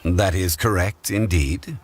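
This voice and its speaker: An actor playing a calm, erudite gentleman in a video game, polite, measured and formal. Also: deep voice. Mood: composed. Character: calm, courteous, erudite gentleman with quiet certainty. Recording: music behind the voice.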